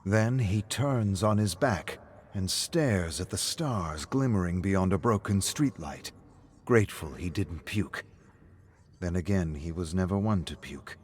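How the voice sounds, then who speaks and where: Low-voiced man narrating a story. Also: expressive, measured pace, darkish tone, a little hiss or hum.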